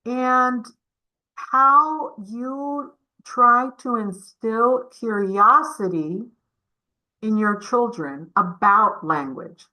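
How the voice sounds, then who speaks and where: A woman's voice: on the high side, drawn-out words, pushed and bright, low-quality recording. A woman giving a community webinar presentation over a video call, encouraging and addressing the audience with questions.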